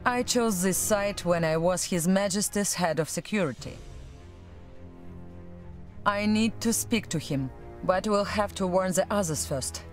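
A resolute female officer, acted by a woman in a video game cutscene. She speaks with earnest, commanding urgency at a middling pitch, with music underneath. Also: a little slow, natural voice. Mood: focused. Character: loyal, resolute female military officer and former security chief.

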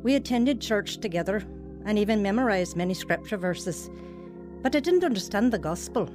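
A woman's voice: medium pitch, animated, normal speed, over music, close to an everyday voice. An actress playing an earnest, wistful woman telling of her lonely youth in a radio drama. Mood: wistful, reflective. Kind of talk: first-person radio drama narration and dialogue.

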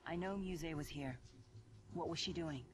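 A woman's voice: low-pitched, a little slow. An actress voicing a serious, guarded young woman warrior in a video game, terse and earnest. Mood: earnest, puzzled. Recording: music clearly behind the voice.

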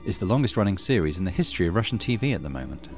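Deep-voiced man narrating a horror game. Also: very animated, very fast, faint music behind. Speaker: gaming channel host. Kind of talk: reading a horror visual novel aloud in a let's play.

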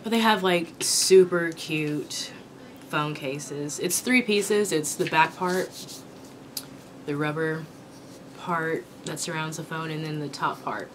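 A young woman from Kentucky chatting casually while eating, her voice raspy from a sore throat, rambling with many ums. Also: low-pitched, slow, a little hiss or hum. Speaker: vlogger. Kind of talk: casual mukbang chat. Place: small indoor room with dark curtain backdrop.

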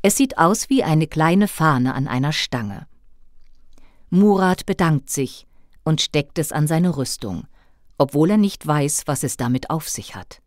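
Female storyteller for children's audio tale: animated, lowish voice, dry close studio sound. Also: a little slow, no echo. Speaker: narrator. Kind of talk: scripted story narration for children.

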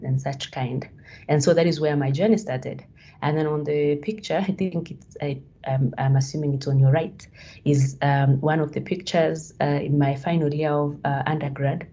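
A woman's voice: low voice, dark tone. A woman from Kenya in her thirties giving a hesitant talk on a video call, with many fillers and restarts. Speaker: guest speaker. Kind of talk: online presentation on a video call. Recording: dull lo-fi call audio.

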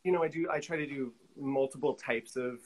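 A man's voice: mid-range voice, a little fast. A man, chatting casually as an interview guest on a video call. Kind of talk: casual chat about own work. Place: room at home, video call.

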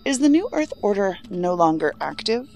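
A woman's voice: mid-range voice, very animated, moderate pace, a little mellow, faint music behind. An actress playing a thoughtful, astute, serious female investigator in an audio drama.

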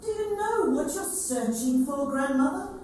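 A woman's voice: sits high, expressive, normal speed, darkish tone. A woman playing a pleading, childlike girl at a deathbed in a staged dramatic reading, with emphatic delivery. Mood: searching, insistent. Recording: echoey hall from far off, noisy.

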